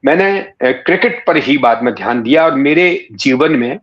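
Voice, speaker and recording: A man, recalling his life in a measured, courteous, conversational way, often pausing mid-sentence. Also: medium pitch, animated. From Rajasthan, India. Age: 60s. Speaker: interview guest, writer and former cricket commentator. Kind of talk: reflective conversational storytelling. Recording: echoey room, slightly dull sound.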